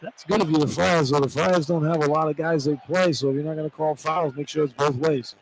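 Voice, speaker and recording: A man's voice: fairly high pitch, steady tone, fairly quick. A man giving informal, opinionated colour commentary on a live radio basketball broadcast. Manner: informal, plain-spoken, opinionated. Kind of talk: conversational live basketball analysis. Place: courtside in a college basketball arena. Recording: live arena broadcast with clear background noise. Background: noisy arena ambience.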